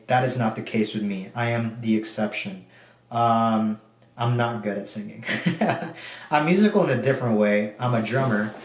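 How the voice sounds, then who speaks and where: A man's voice: fairly low pitch, far from the mic. A young man from the Philippines talking through his personal goals in a casual video diary, rambling with lots of fillers. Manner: relaxed, rambling, frequent um and like fillers. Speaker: video diarist. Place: room at home. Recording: echoey room.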